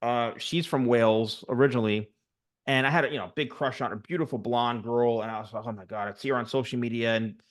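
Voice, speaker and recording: A man in his forties, hosting an interview and telling stories in a chatty, animated way. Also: medium pitch, quick pace. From United States. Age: 40s. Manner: chatty, animated storyteller, many fillers. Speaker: host, barber coach. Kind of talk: casual interview chat.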